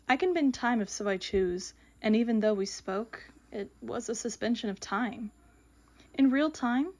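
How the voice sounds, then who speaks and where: A woman playing an all-knowing, solemn female reaper in an audio mystery drama, with measured, oracular delivery. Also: fairly high pitch, a little mellow. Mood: knowing, grave.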